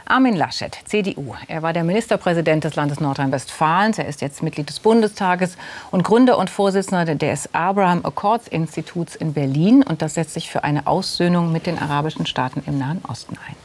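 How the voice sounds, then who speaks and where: A woman hosting a political discussion show, introducing the topic and her guests in a measured, formal way. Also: deep voice. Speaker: talk show host. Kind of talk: news moderation.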